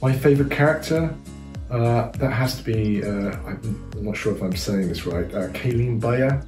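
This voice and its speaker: A man's voice: fairly low pitch, moderate pace, darkish tone. A middle-aged man from the UK sharing opinions on a show casually, with many fillers and restarts, recorded on a sofa in a living room by a far camera. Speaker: viewer giving opinions. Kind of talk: casual reaction review. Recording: roomy echo, faint music.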